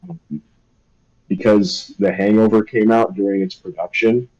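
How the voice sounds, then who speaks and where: A man's voice: lowish voice, steady tone, normal speed, darkish tone, highs cut off. A young man chatting casually on a live stream, rambling and hesitant with lots of fillers. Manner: rambling, hesitant, self-deprecating. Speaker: streamer and artist.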